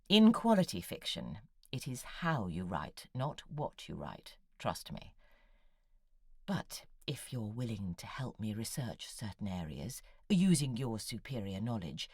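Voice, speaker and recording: An actress playing Monica, a forty-six-year-old novelist who talks in a wry, confiding way and sometimes sinks to a near whisper. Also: female voice, a bass voice, a little mellow, no echo, studio quality, heavily acted. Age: 40s. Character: Monica, a 46-year-old woman novelist, thrice married, wry and self-doubting. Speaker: writer. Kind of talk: audio drama acting, epistolary.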